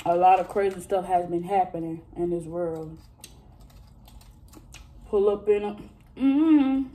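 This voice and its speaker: Woman in her thirties, vlogger, unhurried mellow voice, chatty, talks straight to viewers, drawn-out exclamations while eating. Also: lowish voice. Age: 30s. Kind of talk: casual mukbang chat to viewers.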